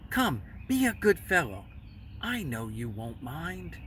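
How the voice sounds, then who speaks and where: A man's voice: fairly high pitch, very animated, takes it slowly, pushed and bright, slight background noise, full character voice. A man voicing a lazy, selfish female storybook bird who coaxes in a sing-song manner. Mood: coaxing.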